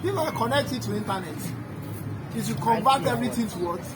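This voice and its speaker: A man's voice: very high-pitched, lively intonation, fast talker. A man with a Nigerian accent giving a technical classroom lecture as an instructor, often checking understanding with rhetorical questions. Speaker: instructor, trainer. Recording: loud street, traffic and crowd noise, music.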